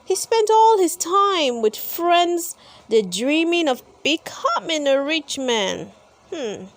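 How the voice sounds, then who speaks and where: A woman reading a children's story aloud as host, with deliberate, dramatic emphasis. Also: high voice, big pitch swings. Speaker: storybook reader and channel host. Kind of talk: expressive children's read-aloud narration.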